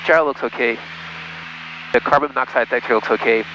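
A man's voice: mid-range voice, fairly even intonation, fast, pushed and bright. A man in his thirties, a pilot giving casual step-by-step commentary over an aviation headset in a small plane. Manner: explanatory, step by step. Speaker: private pilot. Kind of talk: casual cockpit commentary and radio calls. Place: small light aircraft cockpit in flight. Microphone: aviation headset boom. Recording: muffled aircraft headset audio, engine noise.

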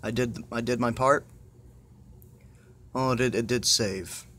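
A man's voice: medium pitch, steady tone, a little slow, fairly bright tone. A man giving casual, muttered let's-play commentary with exasperation and plenty of swearing. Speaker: gamer playing a horror game. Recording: noisy amateur phone screen recording.

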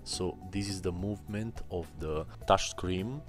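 A man explaining his bot software in a screen-recorded tutorial, speaking haltingly with many fillers. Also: low-pitched, music in the background. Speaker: bot developer demonstrating software.

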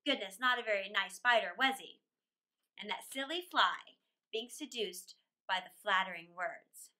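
A middle-aged woman reading a poem aloud for children as a storytime host, theatrically, with dramatic pauses. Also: mid-range voice, sharp tone. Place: small indoor room with plain wall.

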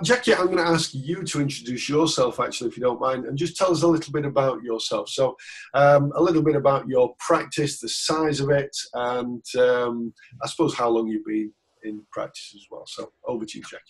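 A man's voice: medium pitch, moderate pace, low-quality recording. A man in his forties hosting a webinar interview over a video call from a small office, speaking in a chatty, informal way while getting over a cold. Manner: chatty, loose and informal, with fillers and restarts. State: recovering from a cold. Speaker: webinar host and coach.

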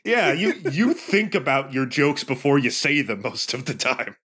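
A man co-hosting a let's play with lively, joking casual commentary. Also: medium pitch, moderate pace, slightly rough. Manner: animated, joking.

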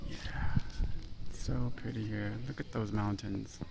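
A travel vlogger talks casually and slowly in short remarks, at a middling pitch. The voice is caught on a handheld recorder outdoors, with clear ambient noise and insects around it. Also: steady tone. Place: outdoors on a mountain temple stairway and summit. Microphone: handheld phone or camera held by speaker.

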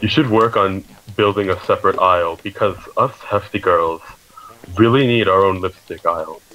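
A hefty girl shopper, played by a man, with a low voice. The delivery is halting and naively earnest, persisting with questions in the manner of a prank phone call. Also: bright, forceful tone. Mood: insistent, nosy.

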